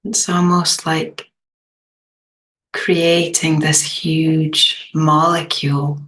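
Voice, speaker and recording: Meditation guide, female. Extremely slow delivery, gentle, low register, little melodic movement. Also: level tone, rough recording. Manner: gentle, unhurried, long pauses.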